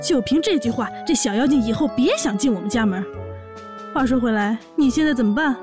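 Woman playing brash, high-voiced orc warrior big sister. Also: expressive, a little fast, over music, close to an everyday voice. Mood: indignant. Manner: sassy, blunt, bossy. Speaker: voice actor in a fan audio drama. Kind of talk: audio drama acting and narration.

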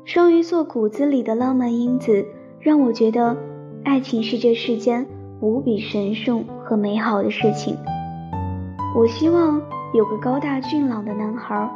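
Shy young heroine of a romance audio drama, played by a woman: high-ish, soft, sentimental, piano underscore. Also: a little slow, lightly acted. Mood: wistful, tender. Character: shy romantic young woman narrating her love story. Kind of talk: Chinese radio drama, sentimental first-person narration. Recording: slightly dull sound under piano music.

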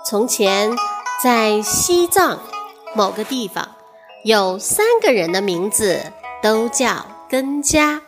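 A woman narrating a children's fairy tale in a measured, expressive storytelling manner. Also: mid-range voice, over music.

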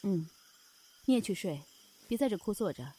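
A woman playing the calm, commanding, teasing boss of a supernatural inn in an audio drama. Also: mid-range voice, normal speed, slightly rough.

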